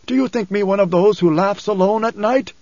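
A man voicing an anguished older blind father, a weary desert prophet in an audiobook, with a husky, trembling, solemn delivery. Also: high voice, fairly quick, darkish tone, pitched above the performer's own voice, natural voice. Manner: solemn, dramatic, formal speech. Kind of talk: audiobook character acting. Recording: dull cassette audiobook, roomy echo.

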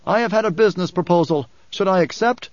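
A man voicing bold male pilgrims in an audiobook, calling out demands in a declamatory shout. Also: sits high, fast, no echo, dull audio, pitched above the performer's own voice, lightly acted. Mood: loud, demanding.

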